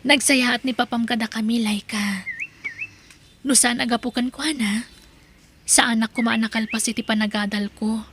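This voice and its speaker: A woman playing a kind, forgiving young woman, gentle and earnest, in an emotional audio drama. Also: fairly high pitch, steady tone, moderate pace, long pauses, slight background noise, natural voice.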